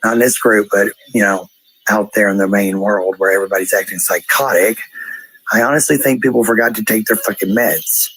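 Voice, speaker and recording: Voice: deep voice, fairly even intonation, brisk, a bit bright, husky, rough recording. A person ranting casually in a blunt, coarse, profane way, heard on a played-back voice clip.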